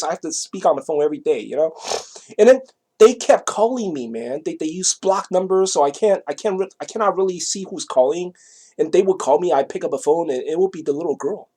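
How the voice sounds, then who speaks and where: A man's voice: a little high, a little mellow. Middle-aged man with a Taiwanese accent. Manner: repetitive, halting, often checking with the listener. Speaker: vlogger telling a personal story. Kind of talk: casual rambling storytelling.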